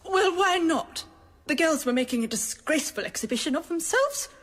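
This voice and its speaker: An actress playing a prim, fussy schoolmistress, timid and indignant, in a radio drama. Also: female voice, very high voice, expressive, moderate pace. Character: prim, fearful, tale-telling schoolmistress. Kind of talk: dramatised radio novel acting.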